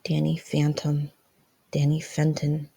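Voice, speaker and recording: Woman, low register, husky, creaky, dramatic scripted storytelling, dry recording. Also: monotone, slow pace, dark tone, no room sound. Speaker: fan fiction reader.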